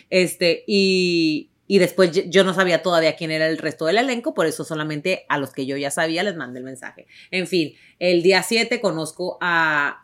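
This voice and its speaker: A young woman from Tijuana with a northern Mexican accent, telling her story to viewers in a chatty, emphatic way full of fillers. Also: on the low side. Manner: chatty, warm and emphatic, many fillers and restarts. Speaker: actress.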